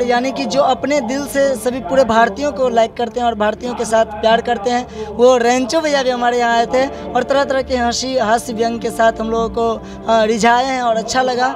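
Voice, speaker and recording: A man doing an enthusiastic, effusive on-location interview for local news. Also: very high-pitched. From Bihar, India.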